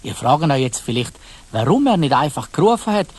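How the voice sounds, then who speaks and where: Husky Swiss German male storyteller. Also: on the high side, big pitch swings, fairly quick, no echo. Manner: addresses young listeners directly with questions. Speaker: narrator. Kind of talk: dramatised children's storytelling.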